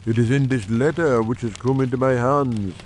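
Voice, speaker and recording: A man's voice: medium pitch, moderate pace, voice pushed down, natural voice. Earnest conspirator played by a man, noisy backdrop. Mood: grave, urgent. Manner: earnest, persuasive, formal speechmaking. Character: earnest, shrewd, confident lodge brother in coal-town secret society. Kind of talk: audiobook dramatic reading.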